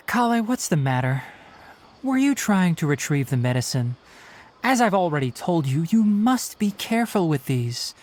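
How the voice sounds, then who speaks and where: An actor voicing a young male forest ranger chief in a video game, a dry, measured, gently scolding mentor. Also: mid-range voice, very animated. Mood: chiding.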